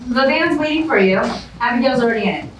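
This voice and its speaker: A woman playing a bossy, harried reality show producer in a staged comedy reading, giving brisk instructions. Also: high voice, lively intonation. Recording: echoey room, distant mic, faint noise.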